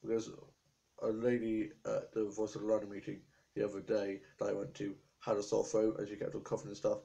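A talkative young man from England chats into his webcam in a small room, listing everything he's been up to. His voice is low and gravelly with a dark, flat tone, and his throat is a little sore. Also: brisk. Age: young adult. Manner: chatty, rambling, stream of lists. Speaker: vlogger. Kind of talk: casual chat to camera. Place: small indoor room with appliances. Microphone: webcam at desk.

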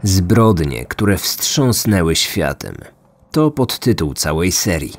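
A young man hosting a true crime podcast, reading scripted narration in a measured, deliberate way. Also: low-pitched, big pitch swings. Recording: music bed behind the voice.

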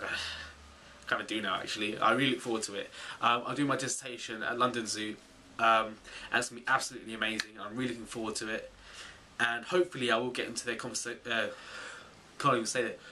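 A man in his twenties chatting casually to camera in a small bedroom, animated and full of fillers and self-corrections. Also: mid-range voice, fast talker, distant mic, a little hiss or hum. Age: 20s. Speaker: vlogger, zoology student. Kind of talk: casual vlog chat to camera.

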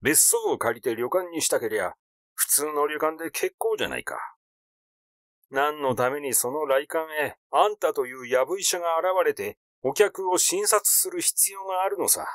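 A man voicing a rash, glib, excitable schemer in an audiobook, talking in a blunt, teasing, effusive way. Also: a little high, moderate pace, no echo, clean studio sound, close to an everyday voice. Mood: scornful, blunt. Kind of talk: literary audiobook character dialogue.

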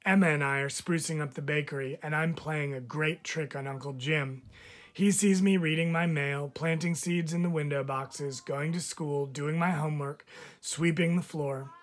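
A man, a teacher, reads a picture book of letters aloud with expressive, measured phrasing. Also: on the high side. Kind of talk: storybook read-aloud of letters.

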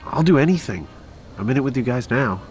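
A man's voice: medium pitch, expressive, brisk, darkish tone, natural voice. A male actor plays a loyal young man in a post-apocalyptic audio drama. He has a mid-range voice, hesitant yet eager, over faint music. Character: unsure but loyal young man in a ravaged world.

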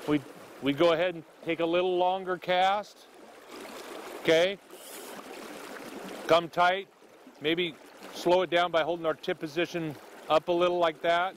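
A man's voice: sits high, level tone, a little slow, pauses often, slight background noise. A middle-aged man, a fishing guide, wading in a river and explaining casting step by step, often checking in with "okay?". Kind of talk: on-the-spot fly-casting instruction.

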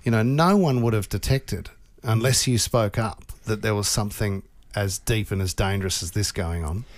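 A man's voice: on the low side, normal speed. An Australian man hosting a casual radio interview, talking close to a studio microphone. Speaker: radio host.

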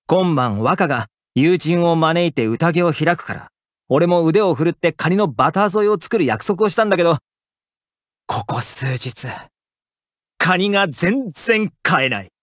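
A helpful young man from an anime game, a caring housekeeper with a casual, friendly manner. His voice sits a little high and swings expressively in pitch. The sound is close and dry. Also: unhurried, no room sound. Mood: troubled. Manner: casual, gently attentive, expressive. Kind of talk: Japanese anime game character voice lines.

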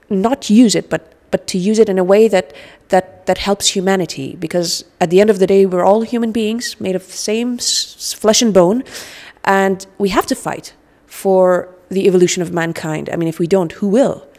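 A woman in her forties from Afghanistan giving earnest, halting interview remarks into a handheld microphone. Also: medium pitch, fairly even intonation, darkish tone, long reverb tail. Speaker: interview guest, humanitarian. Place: indoor lounge with sofas.

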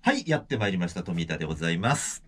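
A man chatting casually in a small radio studio as a music producer, explaining things in an animated, polite way. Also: sits low, very fast, husky. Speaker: music producer, regular corner guest. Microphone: desk studio microphone.

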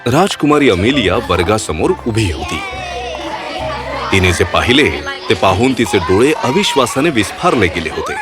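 A man's voice: fairly low pitch, sing-song, moderate pace, crowd noise. A man narrating a scripted children's fairy tale as a storyteller. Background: background music.

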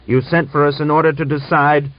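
A man's voice: on the high side, little pitch movement, fairly quick, distant mic, pitched above the performer's own voice, close to an everyday voice. A man voicing a proud, hot-blooded cavalry commander in an audiobook, thick-voiced, stubborn and challenging. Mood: indignant. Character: defiant, proud, hot-blooded male Cossack division commander. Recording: dull lo-fi recording.

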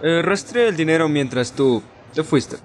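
A man's voice: on the high side, lively intonation, moderate pace, slight background noise, natural voice. A man with a Latin American accent playing a nerdy, anxious, loyal sidekick in a fan dub of an adventure game. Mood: concerned, wry.

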